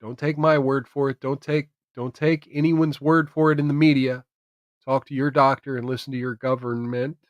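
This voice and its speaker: A man's voice: medium pitch, flat delivery, moderate pace, no echo, lo-fi sound. An American man hosting a political commentary stream, speaking emphatically and repeating phrases for effect. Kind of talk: opinionated political commentary to camera.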